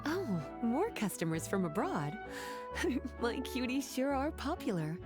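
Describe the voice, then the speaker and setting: A woman voicing an elegant, teasing and doting librarian mage in a video game, with a coy, affectionate politeness. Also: a little high. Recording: background music under voice.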